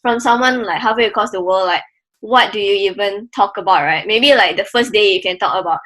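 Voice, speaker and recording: A woman's voice: mid-range voice, brisk, a bit bright. A woman in her twenties with a Malaysian accent, chatting casually on a podcast with lots of filler words. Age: 20s. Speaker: podcast co-host.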